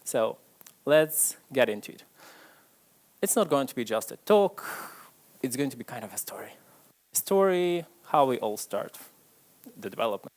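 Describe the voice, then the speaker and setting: A man's voice: mid-range voice, normal speed, pauses often. Chatty man giving a talk. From Ukraine. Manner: chatty, self-deprecating, lively. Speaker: conference speaker. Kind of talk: tech conference talk. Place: stage before a live audience.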